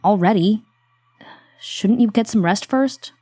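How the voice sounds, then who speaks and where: An actress playing a wry, reluctant but loyal helper in a horror audio drama, talking in a dry, conversational way. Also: female voice, medium pitch, slow pace, mellow, dry recording. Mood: dryly concerned.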